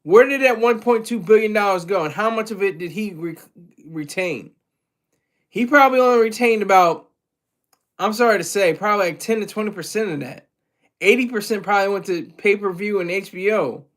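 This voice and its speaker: Streamer, male, high-pitched, rambly casual chat with viewers, lots of restarts. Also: fairly quick, fairly bright tone. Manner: loose, rambling, with frequent restarts and chuckles. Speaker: livestream host reading chat.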